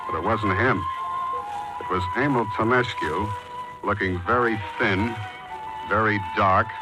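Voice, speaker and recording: An American actor playing a hardboiled, wisecracking private detective in a 1940s radio drama, terse, slangy and sardonic. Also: male voice, lowish voice, lightly acted, poor audio. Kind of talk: 1940s radio detective acting, first-person narration. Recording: 1940s radio recording with music behind.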